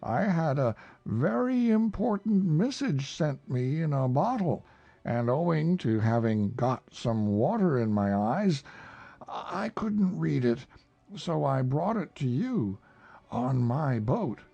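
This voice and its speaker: A man voicing a proud, simple-minded male bear with a growly voice and slow speech. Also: a little high, sing-song, gravelly, dark tone, muffled recording, pitched above the performer's own voice, exaggerated voice.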